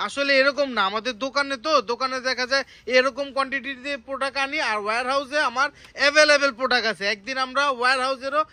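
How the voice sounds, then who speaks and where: A man's voice: very high voice, steady tone, fast talker, bright, forceful tone, no room sound. A Bangladeshi man hosting a casual product demonstration, enthusiastically repeating and confirming points. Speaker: video host and toy shop promoter.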